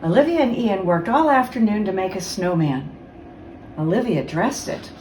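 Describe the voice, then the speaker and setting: A woman reading a children's storybook aloud as a guest reader, with expressive, dramatic storytelling. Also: medium pitch, normal speed. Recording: roomy, distant mic, faint noise.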